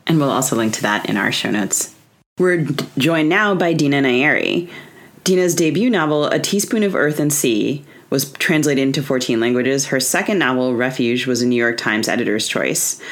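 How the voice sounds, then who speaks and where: A woman's voice: very deep voice, lively intonation, moderate pace. A woman co-hosting a literary podcast, speaking conversationally as an interviewer.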